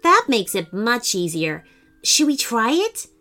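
A woman's voice: on the high side, sing-song, a little slow, sharp tone, soft background music, voice pushed up, full character voice. A woman voicing a curious, enthusiastic young boy with a polite, questioning manner in a children's story.

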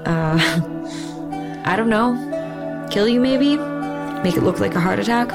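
A woman acting a grave, fearful character in an audio drama. Her voice is mid-pitched, and loud music runs beneath it. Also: animated, slow pace, vocal fry. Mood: uneasy. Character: grave, frightened woman with a secret. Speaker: actress in an audio drama trailer. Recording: loud music under trailer dialogue.

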